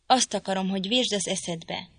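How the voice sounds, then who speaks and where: A woman's voice: medium pitch, no room sound, close to an everyday voice. Woman voicing a brooding vengeful young man. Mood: threatening. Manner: cold, threatening.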